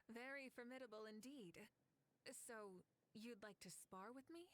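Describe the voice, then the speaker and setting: A woman playing a composed, gracious and confident warrior leader in a video game, speaking in a measured way. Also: fairly high pitch, a bit bright. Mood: amused, curious. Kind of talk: video game character acting. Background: background music.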